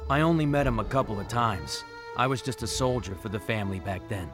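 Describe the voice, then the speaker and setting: A man's voice: lowish voice, a little fast, natural voice. An actor playing a loyal, easygoing male mobster in a video game. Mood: reflective, matter-of-fact. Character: Dominic, loyal mob underboss, easygoing man. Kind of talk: video game voice acting, narration and dialogue. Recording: music under the voice.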